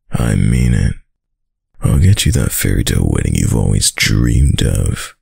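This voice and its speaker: A man playing a dominant, doting young mafia heir in an intimate boyfriend roleplay, with a very deep, gravelly voice and a slow, tough-guy delivery. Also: darkish tone, no echo, clean studio sound, exaggerated voice. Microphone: close, dry microphone.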